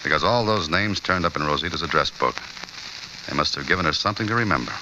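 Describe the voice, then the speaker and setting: A man's voice: a bass voice, quick pace, dull audio. A man acting a terse, brooding American newspaperman in an old radio drama, wry and pressing in his questions. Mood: wry, probing. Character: hard-boiled, brooding newspaperman investigating a young woman's death. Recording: noisy old radio recording, steady hiss.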